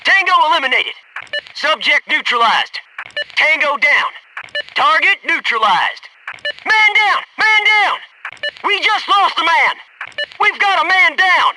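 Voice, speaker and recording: Young army sniper buddy for a game, really high for a man, pitch jumps around, clipped eager callouts. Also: expressive, unhurried, pauses often, background noise. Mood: businesslike, then urgent shouting. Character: young male military sniper-spotter, cocky, keen, loyal squadmate. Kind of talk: video game combat voice lines, short radio callouts.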